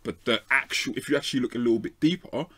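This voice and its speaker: A South London man holding forth on football in a quick, animated rush. He argues his case hard and piles up point after point. His voice sits at an ordinary middle pitch. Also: husky. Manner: animated, argumentative, rapid-fire. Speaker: football pundit. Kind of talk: lively football debate.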